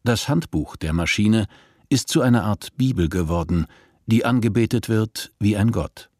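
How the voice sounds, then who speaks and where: A man with a low, husky voice narrates a radio play. He is measured and matter-of-fact, recorded very dry over faint music. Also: animated, darkish tone, no room sound. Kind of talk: scripted radio drama narration.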